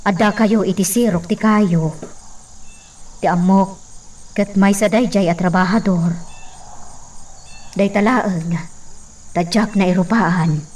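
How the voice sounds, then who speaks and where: An actress playing an earnest, respectful woman servant of a mansion in an Ilocano radio drama, deferential and measured as she confides. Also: medium pitch, fairly even intonation, darkish tone, far from the mic. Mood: earnest, confiding.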